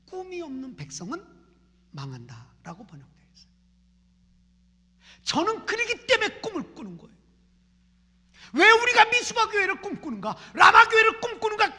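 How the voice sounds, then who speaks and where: A woman's voice: extremely high pitch, bright, forceful tone. A woman pastor from Seoul preaching a fervent sermon in a church, repeating phrases in rising prayer chants. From Seoul, South Korea. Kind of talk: impassioned sermon. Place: church sanctuary. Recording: reverberant church hall.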